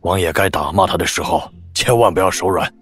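A man's voice: low voice, fairly quick, fairly bright tone, husky. An actor playing a deferential, humble older father-in-law in an audio drama, with music behind him. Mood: humble, flustered.

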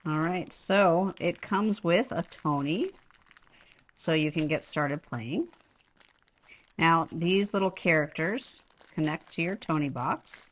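A woman's voice: low voice, takes it slowly, a little hiss or hum. A woman co-hosting a casual unboxing and product demonstration, recorded inside a home. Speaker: product review co-host. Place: indoors at a home.

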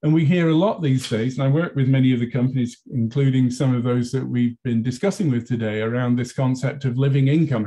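A British man in his sixties, a professor speaking on a conference video call in a measured, thoughtful way from his office. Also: medium pitch, mellow. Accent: British English. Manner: measured, thoughtful, frequent restarts. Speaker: university professor, panel speaker. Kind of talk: conference panel talk. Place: office with bookshelves.

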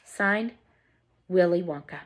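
A woman reading out a showy candy maker's letter in a theatrical, drawn-out way. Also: lowish voice. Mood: grandiose.

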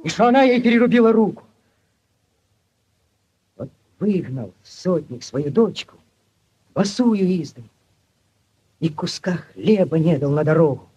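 Casting: ardent young village lad, theatrical storyteller for vintage radio play, high and very animated. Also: male voice, measured pace, long pauses, breathy edge, highs cut off, exaggerated voice. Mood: dramatic, urgent. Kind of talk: 1940s Soviet radio play acting. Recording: 1940s radio recording with music.

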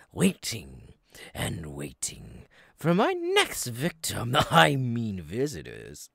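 A man voicing a creepy, gleeful monster circus clown in an ASMR roleplay, raspy and breathy, theatrical, lurching between whispers and loud bursts. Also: mid-range voice, dry recording, studio quality, full character voice.

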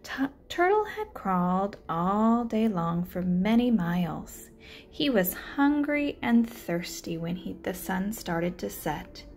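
Woman reading a storybook with lively intonation. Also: mid-range voice. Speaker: learning coach reading aloud. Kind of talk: children's storybook narration.

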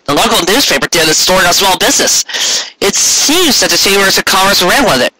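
Man as flustered bright-voiced pony husband. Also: sits high, big pitch swings, voice pushed up, full character voice, low-quality recording. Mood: proud. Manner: halting, flustered. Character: bashful, eager male cartoon pony baker husband.

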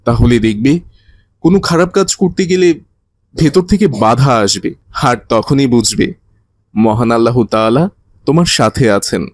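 A man's voice: medium pitch, lively intonation, unhurried. A man playing a kindly, didactic teacher who tells moral religious stories to children in a cartoon. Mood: gently admonishing. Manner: didactic, earnest.

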